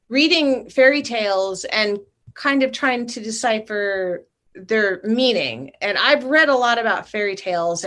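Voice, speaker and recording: A middle-aged woman talks through her artwork in a casual interview, thoughtful and explanatory. Her voice sits at an ordinary pitch for a woman. She is heard over a video call. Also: a bit bright. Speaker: guest artist.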